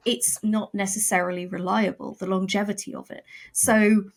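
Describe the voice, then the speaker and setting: A woman's voice: mid-range voice, level tone, moderate pace, vocal fry. Firm, articulate woman, emphatic guest. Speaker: podcast guest, audio drama writer. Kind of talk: casual conversational interview.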